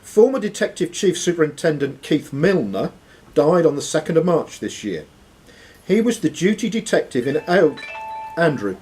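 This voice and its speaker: A man's voice: on the high side, sing-song, normal speed, a little mellow. A man working as quizmaster, reading quiz questions aloud to contestants. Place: social club room with players around. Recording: noisy live room recording.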